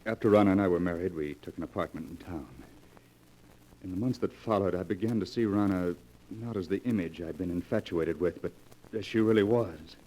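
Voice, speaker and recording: An actor playing a tormented, exasperated husband in a 1940s radio melodrama, brooding and earnest. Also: male voice, low-pitched, brisk, warm tone, natural voice. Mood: haunted, brooding. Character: tormented, exasperated husband recounting his nightmare marriage. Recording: noisy vintage 1940s radio recording.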